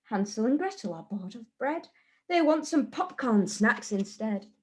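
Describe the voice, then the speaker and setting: A woman reads a rhyming tale for young children. Her voice sits fairly high and she goes very slowly, with a deliberate, sing-song lilt like a teacher at story time. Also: very animated.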